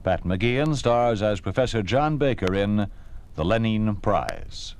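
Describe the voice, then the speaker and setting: A man's voice: on the low side, very animated, unhurried. A man with an American accent delivering dramatic scripted narration as a 1950s radio announcer. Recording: old radio broadcast over loud music. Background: music.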